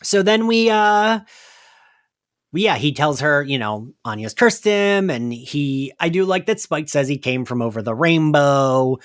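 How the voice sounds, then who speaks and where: A man hosting a podcast, casually recapping a story in a chatty way full of fillers and quick to laugh. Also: on the high side, expressive, fairly bright tone, no echo, studio quality. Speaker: podcast host. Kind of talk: casual recap chat about an audio drama.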